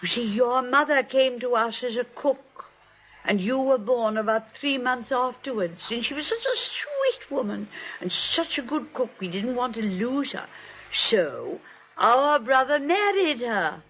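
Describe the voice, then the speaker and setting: An actress playing a genteel, cheerfully dotty old maiden aunt with gentle, polite matter-of-factness in a 1940s radio drama style. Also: female voice, on the high side, big pitch swings, measured pace, breathy edge, full character voice. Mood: sweetly matter-of-fact. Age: older. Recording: muffled vintage 1940s drama recording.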